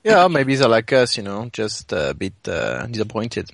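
A man chatting casually as a podcast panelist, speaking in a hesitant, halting way. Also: on the low side, wildly swooping pitch, gravelly, heavy background noise. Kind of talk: casual fan discussion.

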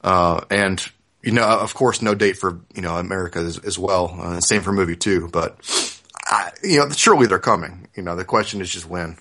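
Wry man with a lowish voice. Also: moderate pace, pushed and bright. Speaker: podcast host, fan forum operator. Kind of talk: casual news discussion.

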